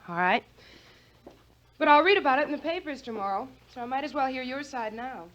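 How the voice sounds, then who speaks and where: An actress playing a caring, probing ballplayer's wife in an old-time radio drama style. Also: female voice, sits high, expressive, fairly bright tone, muffled recording. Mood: probing, concerned. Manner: probing, affectionate, quick to question. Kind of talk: 1940s-50s radio drama acting. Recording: vintage mid-century radio recording.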